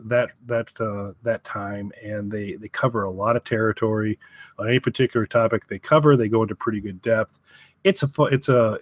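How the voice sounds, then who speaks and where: A man co-hosting a casual review podcast, talking haltingly as he repeats and rephrases his way through each point. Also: medium pitch, no room sound. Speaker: podcast co-host. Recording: dry, slightly dull podcast audio.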